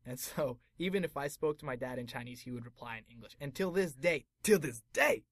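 A man's voice: mid-range voice, lively intonation, brisk, dry recording, studio quality. A man from Taiwan who works as an actor, chatting casually as an interview guest and laughing easily.

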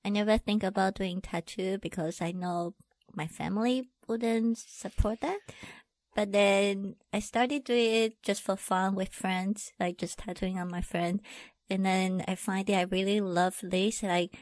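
Female guest, Taiwanese accent, casual halting delivery, medium pitch, dry sound. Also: fairly even intonation, darkish tone, no room sound. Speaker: interview guest, tattoo artist. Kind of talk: casual chat.

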